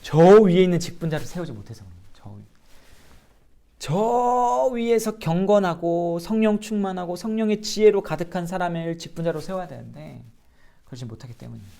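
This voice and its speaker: A man's voice: high-pitched, lively intonation, fairly quick, creaky, dark tone. A man, a pastor, giving an earnest sermon-style Bible lecture and asking his listeners rhetorical questions. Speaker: pastor teaching a Bible class.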